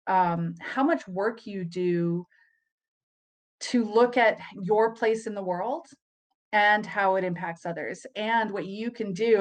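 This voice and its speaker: A woman hosting a casual interview, asking questions in a friendly, chatty way with easy laughs. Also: medium pitch. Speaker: host.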